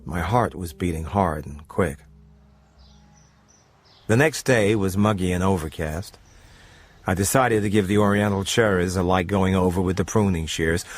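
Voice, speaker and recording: A man narrating a first-person radio drama story in a literate, measured storytelling manner. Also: low-pitched.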